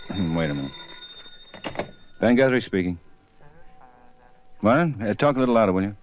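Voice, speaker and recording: An actor playing a steady, blunt police detective in a 1950s radio drama, firm and matter-of-fact. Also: male voice, on the low side, normal speed, natural voice. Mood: musing. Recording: dull old radio broadcast.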